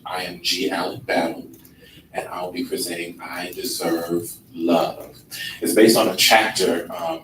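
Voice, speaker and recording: Deep, sleepy-voiced man presenting in an echoey room. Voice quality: sleepy, laid-back delivery. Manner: unhurried with frequent ums. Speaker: workshop presenter. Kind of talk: relaxed workshop presentation. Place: conference room. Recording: echoey room from far off with noise.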